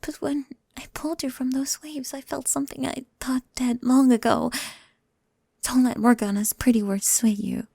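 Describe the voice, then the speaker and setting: A woman's voice: steady tone, normal speed, mellow, no room sound, clean studio sound, exaggerated voice. A woman playing a brooding, possessive and commanding goth pirate captain in an intimate, murmured ASMR roleplay. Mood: protective, possessive. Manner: low-key menacing, intimate, breathy murmuring.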